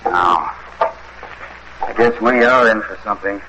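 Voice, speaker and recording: Casting: man playing no-nonsense American husband, lowish voice, dry and practical, old-time radio mystery, noisy recording. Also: unhurried, close to an everyday voice. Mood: matter-of-fact. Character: practical, gruffly reassuring husband in a spooky castle. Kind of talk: vintage radio drama acting.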